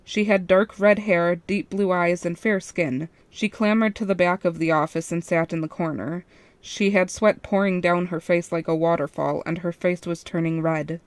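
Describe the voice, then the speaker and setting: A woman's voice: lowish voice, fairly even intonation, moderate pace, dry recording. A woman reading a first-person fan fiction story as its narrator.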